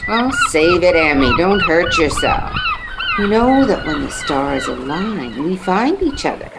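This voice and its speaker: Tipsy flirt female actor, lowish creaky voice, exclamatory drawl, radio play. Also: huge pitch swings, measured pace, heavy background noise, exaggerated voice. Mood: teasing, flirty.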